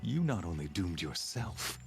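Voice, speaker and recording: Deep, slow, menacing zealot warlord. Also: male voice, big pitch swings, creaky, a little hiss or hum, full character voice. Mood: gloating menace. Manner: slow, grandiose, formal, deliberate oratory. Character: menacing zealot warlord, cold fanatical male villain. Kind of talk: dramatic video game villain monologue.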